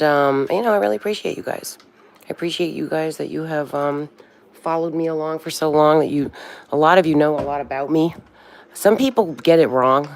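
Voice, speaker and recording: A woman's voice: low voice, a little mellow. A woman in her sixties hosting a casual livestream from home, chatting loosely and breaking into bits of birthday songs. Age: 60s. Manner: chatty, sings snatches of birthday songs to herself. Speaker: livestream host. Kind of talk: rambling casual livestream chat.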